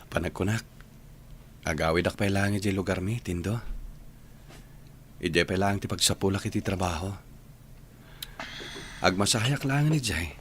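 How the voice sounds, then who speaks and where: A man's voice: low voice, normal speed, long pauses, a bit bright, slight background noise. A man acting in a radio drama as an earnest, heartbroken young graduate, with a Filipino Ilocano accent. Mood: resigned.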